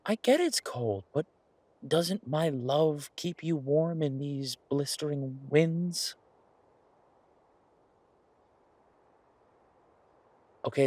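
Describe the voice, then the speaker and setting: A man playing an earnest, playful boyfriend in a naturalistic one-sided audio roleplay, talking in a halting, wry, conversational way. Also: mid-range voice, a little mellow, lightly acted. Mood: teasing, mock-hurt. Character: earnest, playful werewolf boyfriend pitching a farm venture.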